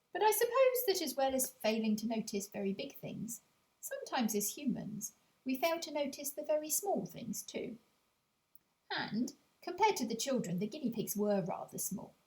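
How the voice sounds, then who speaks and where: A woman's voice: a little high, dark tone, poor audio. A woman narrating a children's audiobook as a storyteller, with confiding asides to the listener.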